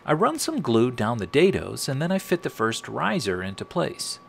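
A man's voice: medium pitch, sing-song, moderate pace, vocal fry, slight background noise. A middle-aged American man narrating a scripted woodworking tutorial in a wry, tongue-in-cheek manner. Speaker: host of a woodworking channel.

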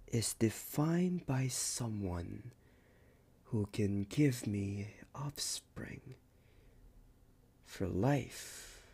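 A man voicing a possessive, smug werewolf alpha in an ASMR roleplay, husky and breathy, often whispering, with teasing deliberate pauses. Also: fairly low pitch, warm tone, exaggerated voice. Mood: possessive, coaxing.

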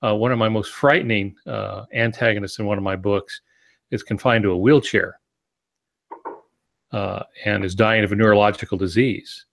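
A man's voice: on the low side, steady tone, normal speed, gravelly. A man in his sixties giving casual writing advice with dry humor on a video-call podcast. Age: 60s. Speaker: podcast co-host, novelist. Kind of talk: casual teaching talk with dry jokes. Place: home office, indoors. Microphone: earbud headset on a video call.

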